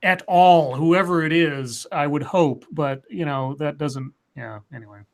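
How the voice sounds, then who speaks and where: Rambling, stammering male co-host with a higher voice. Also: a little slow. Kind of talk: casual livestream chat.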